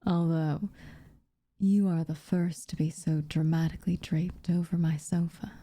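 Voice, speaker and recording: A woman playing an aloof, teasing but secretly tender witch in a soft, intimate ASMR roleplay, with a breathy, half-whispered delivery and dramatic pauses. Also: lowish voice, dry recording, studio quality. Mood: wistful, amused.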